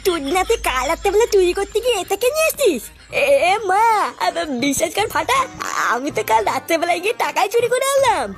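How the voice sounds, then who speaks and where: A very high, squeaky male cartoon thief rattles along quickly. He is a scheming village crook, slangy and quarrelsome. His exclamations swing up and down, with light music behind him. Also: sing-song. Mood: squabbling, exasperated. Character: scheming, foul-mouthed male village petty thief, excitable. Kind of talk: slapstick cartoon comedy dialogue.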